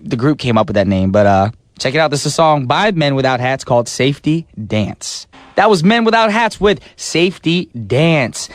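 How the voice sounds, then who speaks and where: A man hosting a radio show in a studio, chatting and telling animated stories. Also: on the high side, no room sound. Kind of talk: casual radio DJ chat. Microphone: studio mic.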